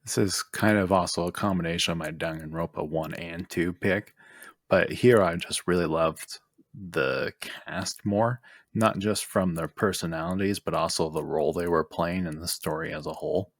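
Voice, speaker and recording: A man's voice: low voice, fairly even intonation, moderate pace, no echo. A man co-hosting a casual group discussion. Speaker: podcast co-host.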